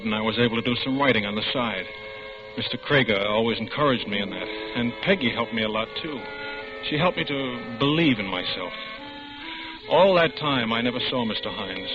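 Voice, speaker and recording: A man's voice: medium pitch, brisk, music in the background, lightly acted. A man playing a bitter ex-con narrator. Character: bitter young ex-convict on trial. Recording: lo-fi old radio drama.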